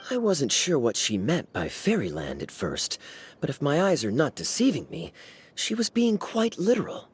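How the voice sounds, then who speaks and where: An actor playing a suave, teasing young knight in an anime-style video game, smooth and playful in his drawling delivery. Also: on the high side, huge pitch swings, moderate pace, heavily acted.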